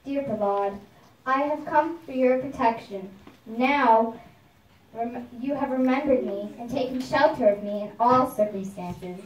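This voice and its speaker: A woman's voice: a little high, unhurried, a little hiss or hum, close to an everyday voice. A woman voicing a benevolent half-lion god in a puppet show, speaking solemnly and formally. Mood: benevolent, pleased. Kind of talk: devotional puppet show dialogue. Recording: echoey room, distant microphone.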